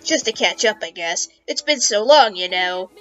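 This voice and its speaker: A woman's voice: mid-range voice, expressive, normal speed, fairly bright tone, lo-fi sound, lightly acted. Woman voicing an earnest cartoon hedgehog hero. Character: adventurous, hesitant male cartoon hedgehog hero. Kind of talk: dramatic reading of fan fiction. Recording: music behind the voice.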